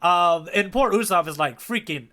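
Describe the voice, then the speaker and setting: A man with a slightly high, very bright voice talks as a podcast co-host. His delivery is casual and conversational. He comes across as an enthusiastic, appreciative fan. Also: expressive, moderate pace. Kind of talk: casual chat about a manga chapter.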